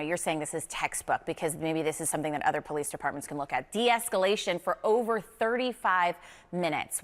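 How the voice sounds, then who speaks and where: A woman's voice: on the low side, fairly bright tone, big-room sound. A woman with an American accent anchoring live television news and interviewing a guest.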